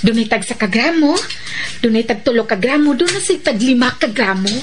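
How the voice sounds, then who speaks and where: Woman acting a feisty, high-voiced, animated chatterer. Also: a little fast, background noise, lightly acted. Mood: businesslike. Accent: Cebuano Filipino. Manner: exclaiming, teasing, familiar. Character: feisty, chatty woman, teasing and plain-spoken. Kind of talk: radio drama acting.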